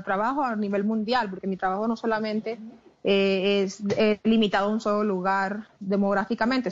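Colombian coastal-accent woman guest, passionate and emphatic, higher voice, muffled lo-fi audio. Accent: Colombian Caribbean coast, mixed with English. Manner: passionate, emphatic, with frequent restarts. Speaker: plus-size model, guest. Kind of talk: interview conversation.

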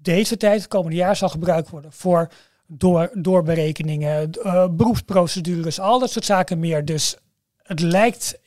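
A man's voice: sits high, moderate pace, a little mellow, no room sound, clean studio sound. A man co-hosting a podcast, explaining things in a casual, emphatic way and often repeating himself. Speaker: podcast co-host.

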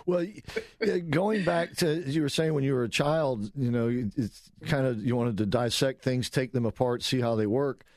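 A man's voice: mid-range voice, moderate pace, no room sound, clean studio sound. A man hosting an interview show, asking long, meandering questions with plenty of hesitations. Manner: relaxed, rambling questions with many "uh"s. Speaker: radio show host.

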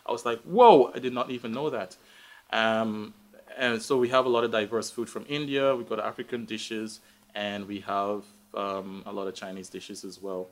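Guyanese guy chatting as a guest, lowish voice, kinda hesitant and reflective. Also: flat delivery, normal speed, fairly bright tone. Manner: hesitant, reflective, thinking aloud. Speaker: interview guest, tech professional. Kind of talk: casual live conversation.

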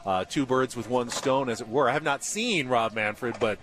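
A man's voice: mid-range voice, normal speed, a bit bright. A man hosting casual live sports talk radio on location. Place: on location at a spring training complex.

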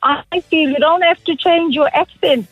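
High-voiced woman w/ cape coloured south african accent calling in, phone-line sound, sure of herself. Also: normal speed, dry recording, soft background music. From Johannesburg, South Africa. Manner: earnest, self-assured. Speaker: caller, lecturer. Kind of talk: phone-in call.

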